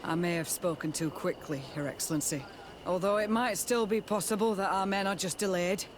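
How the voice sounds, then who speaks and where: An actor playing a formal, commanding army captain in a video game. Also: male voice, high voice, brisk, noisy background, lightly acted. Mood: formal, concerned.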